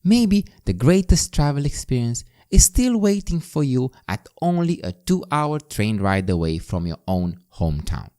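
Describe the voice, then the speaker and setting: A man's voice: mid-range voice, sing-song, unhurried, few pauses, warm tone, clear, resonant tone. A man with a Romanian accent, a native Romanian speaker, hosting a podcast in an emphatic, scripted self-improvement monologue that addresses the listener directly. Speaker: podcast host.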